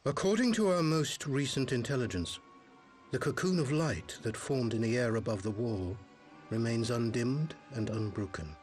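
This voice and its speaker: A dutiful fantasy game officer , acted by a man, with faint music behind him. His mid-pitched voice reports formally and earnestly, in clipped military fashion. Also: animated, normal speed, darkish tone, natural voice. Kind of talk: video game cutscene acting.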